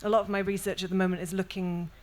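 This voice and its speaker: A young Welsh woman poet speaking thoughtfully on a festival panel, with many pauses and restarts. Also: mid-range voice, little pitch movement. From Wales. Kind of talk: panel discussion and poetry reading.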